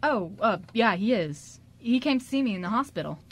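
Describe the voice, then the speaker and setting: A woman's voice: on the high side, animated, normal speed. A woman playing a sweet, boyish, apologetic man, hesitant and earnest, in an amateur radio drama. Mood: cheerful.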